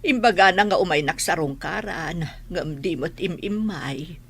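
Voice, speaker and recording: A woman acts out an old Filipino village grandmother for a radio play. The voice is husky and on the low side, plain-spoken and worried. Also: expressive, unhurried, a bit bright, full character voice. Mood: worried, resigned. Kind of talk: radio drama acting.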